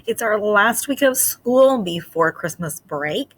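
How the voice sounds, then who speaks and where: A woman teacher reading a picture book aloud to her class in an expressive, sing-song way. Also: medium pitch, slow. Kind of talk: storybook read-aloud for children.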